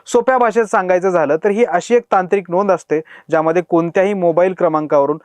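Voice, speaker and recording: A man's voice: sits high, fast talker, darkish tone, clean tone, no room sound. A man presenting a scripted news explainer in an emphatic manner, posing rhetorical questions.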